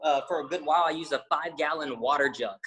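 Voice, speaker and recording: A man's voice: fairly high pitch, quick pace, breathy edge. A young man, a fitness trainer, chatting casually as an interview guest, rambling with lots of fillers and restarts. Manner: says he has a loud voice.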